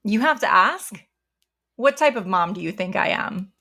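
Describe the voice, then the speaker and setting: A woman's voice: mid-range voice, animated, moderate pace, bright, forceful tone. A woman playing a protective, loving but stern mother in a naturalistic audio drama. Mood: playful.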